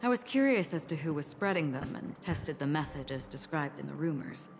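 An actress playing a composed, guarded young woman in a video game, speaking in a measured, earnest and formal way. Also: sits low, lively intonation, music in the background.

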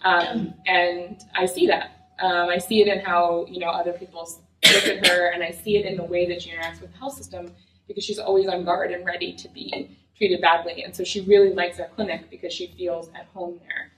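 A young adult woman speaks earnestly in a relaxed lecture style, her voice sitting a little low and often pausing on fillers. She is heard from across an echoey lecture room. A faint hum of noise sits behind her. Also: far from the mic. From United States. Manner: earnest, conversational, many fillers. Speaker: physician lecturer. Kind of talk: academic lecture. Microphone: far room mic.

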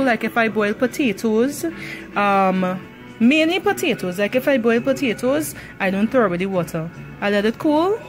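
A woman's voice: a little high, low-quality recording. A Trinidadian woman casually talking through a home cooking tutorial, rambling with many ums and easy laughs. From Trinidad and Tobago. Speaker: cooking channel host.

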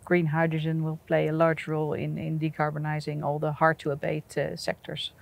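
A middle-aged woman speaking in an outdoor interview, halting with many restarts and easy laughs, on a lapel mic. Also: low voice, completely flat delivery, creaky. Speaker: energy company representative. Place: outdoors at an industrial port site.

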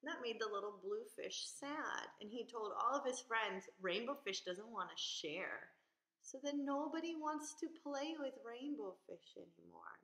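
A woman teacher reading a picture book aloud expressively, pausing to ask young listeners questions and explain simply. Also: a little high, animated, a bit bright. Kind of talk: expressive children's read-aloud. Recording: echoey room.

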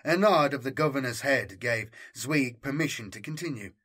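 Male narrator, dramatic audiobook reading, bright and forceful tone, scripted science fiction prose. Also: mid-range voice, expressive, normal speed, husky.

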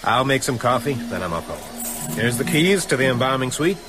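A man's voice: medium pitch, sing-song, moderate pace, over music, natural voice. An actor playing a wry, kindly mortician who teases his friends in a radio drama. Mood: curious, obliging.

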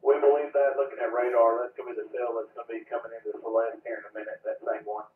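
A man's voice: medium pitch, little pitch movement, fast. A man's voice comes through a narrow, phone-like two-way radio. He speaks in a procedural, matter-of-fact way, and the sound is echoey and a little distant, with faint noise. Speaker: radio net operators and storm spotters. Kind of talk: amateur radio traffic.

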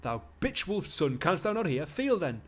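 A man's voice: on the high side, big pitch swings, normal speed, fairly bright tone, pitched above the performer's own voice. A man playing a big, dim, angry warrior with a Geordie accent, barking his lines in a belligerent read-aloud of a stage play. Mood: furious. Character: Ajax, big strong dim-witted brutish warrior, bullying and angry.

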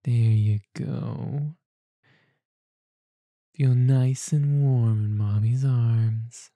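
Slow, soft-cooing woman in ASMR roleplay. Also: a bass voice, fairly even intonation, no room sound. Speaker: roleplay performer. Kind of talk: ASMR roleplay as a mother comforting a child.

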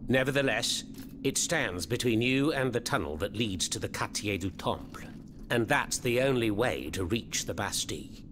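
An actor playing a fervent, florid French revolutionary in a video game, speaking with a French accent. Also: male voice, fairly low pitch, animated, moderate pace, background noise, natural voice. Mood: grave, explanatory. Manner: theatrical, florid, eloquent. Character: theatrical, fervent male French revolutionary hiding underground. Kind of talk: video game cutscene acting.

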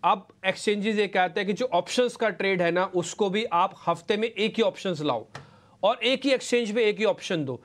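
A man's voice: high voice, normal speed. Emphatic middle-aged male market analyst. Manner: emphatic, rhetorical questions, mixes English words into Hindi. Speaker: market analyst on a business news channel. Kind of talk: explaining to an anchor on live TV. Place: TV news studio.

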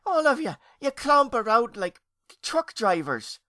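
A man's voice: high voice, normal speed, bright, forceful tone, no room sound, clean studio sound, pitched above the performer's own voice. A man voicing a fretful, bossy lady ghost aunt from Ireland in a children's storybook, with dramatic wailing and scolding. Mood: exasperated.

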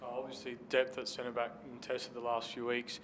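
A man's voice: medium pitch, completely flat delivery, normal speed, a bit bright, a little hiss or hum. A man, a reporter putting long, detailed questions to a coach at a press conference.

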